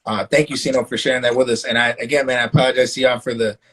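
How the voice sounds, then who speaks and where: A man's voice: medium pitch, steady tone, racing, fairly bright tone, breathy edge. A man hosting a casual live-stream interview show, speaking loosely with lots of fillers and restarts. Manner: loose, filler-heavy, stumbles over his words. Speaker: live talk show host.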